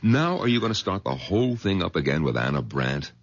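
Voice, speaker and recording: An actor playing a skeptical, exasperated middle-aged doctor in a 1970s radio drama. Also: male voice, sits low, very animated, fairly quick, heavily acted.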